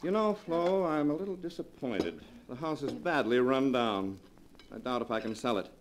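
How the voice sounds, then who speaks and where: A man's voice: mid-range voice, lively intonation, normal speed, a little hiss or hum, lightly acted. Man playing a suave, scheming nephew. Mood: sardonic. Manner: suave, mocking. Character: scheming nephew, smooth and sardonic. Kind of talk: 1940s radio acting. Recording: old radio broadcast recording.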